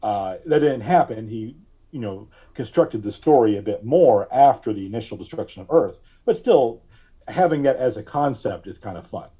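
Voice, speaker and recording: A man is being interviewed on a podcast in a relaxed, casual way. His voice is mid-pitched and notably mellow. He speaks haltingly, working out his thoughts as he talks. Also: breathy edge. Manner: halting, thinking aloud. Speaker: podcast guest; audio drama writer.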